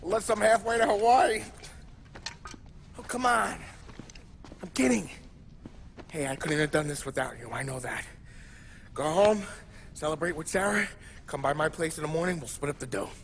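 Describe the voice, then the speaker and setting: An actor playing a streetwise, blunt Prohibition-era mobster who plans a heist, in a game cutscene. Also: male voice, fairly high pitch, lively intonation, normal speed, creaky, pauses often, background noise. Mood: wild excited. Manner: streetwise, blunt tough-guy talk.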